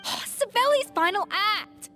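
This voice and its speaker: Young game heroine: high-pitched, animated, forthright, easily exasperated, gives orders. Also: female voice, a little slow, soft background music, exaggerated voice. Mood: earnest dismay. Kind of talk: video game voice acting.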